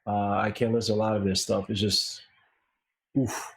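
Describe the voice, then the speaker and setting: An American man chatting casually as a music review co-host, animated, slangy and prone to swearing. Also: fairly low pitch, normal speed, creaky, poor audio.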